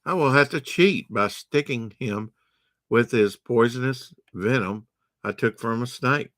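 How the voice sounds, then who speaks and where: A man's voice: medium pitch, a little slow, a bit bright, no room sound, studio quality, lightly acted. A man voicing a boastful male hunter villain from a storybook, speaking in grand, menacing proclamations.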